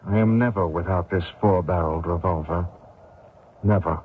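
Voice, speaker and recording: An actor in an old radio drama playing an evasive, uneasy fugitive courier, speaking politely and with guarded hesitation. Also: male voice, low voice, normal speed, lightly acted. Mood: defiant. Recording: tinny, noisy old radio drama recording.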